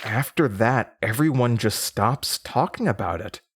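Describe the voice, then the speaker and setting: An actor playing an anxious, haunted young man in an audio drama, speaking in a halting, nervous, stammering confessional monologue. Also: medium pitch, animated, breathy edge. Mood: puzzled, uneasy. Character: anxious, haunted young Midwestern man recalling traumatic memories.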